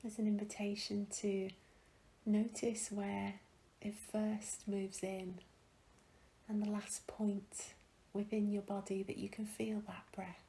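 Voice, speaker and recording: A woman guiding a meditation in a gentle, soothing, hushed and breathy voice, leaving long pauses between phrases. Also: medium pitch, little pitch movement, low-quality recording. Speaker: meditation guide. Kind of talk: guided meditation, soothing and slow.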